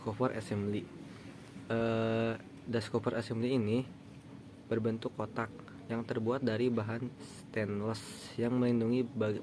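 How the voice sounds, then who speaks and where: A young man gives a student presentation in a lowish, very flat voice. He explains haltingly and slips in colloquial asides, with clear background noise on the recording. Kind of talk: informal slide presentation. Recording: clearly noisy room recording.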